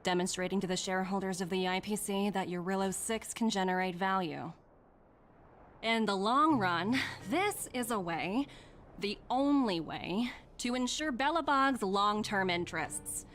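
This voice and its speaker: An actress playing a confident young female corporate emissary in a game, smooth-talking, poised and persuasive. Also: mid-range voice, measured pace, fairly bright tone, a little hiss or hum, lightly acted. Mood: assured, persuasive. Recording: light music behind the voice.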